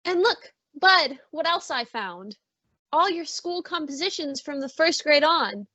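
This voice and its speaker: A doting mother of a grown son acted by a woman in a vintage-style radio play, fussing and gently chiding. Her voice is high and bright. The recording is dry and slightly dull. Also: animated, unhurried, dry recording, exaggerated voice. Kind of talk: old-time radio suspense acting. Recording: slightly dull, muffled audio.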